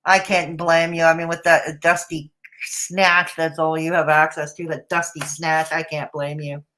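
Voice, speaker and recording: A woman's voice: sits low, level tone, unhurried, fairly bright tone. A woman streamer giving sarcastic, mocking commentary on a live stream.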